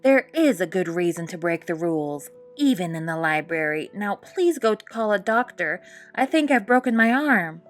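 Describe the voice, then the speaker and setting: A woman's voice: on the low side, lively intonation, moderate pace, lightly acted. A kindly, orderly head librarian from a picture book, as a woman reader acts her out. She gives polite, firm instructions. Faint music sits behind the voice. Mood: calm, pained.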